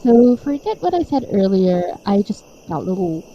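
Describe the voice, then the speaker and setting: A woman playing a hesitant, apologetic young teammate in a webtoon fan dub, speaking tentatively and haltingly. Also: medium pitch, big pitch swings, mellow, muffled recording, a little hiss or hum, lightly acted. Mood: apologetic, earnest.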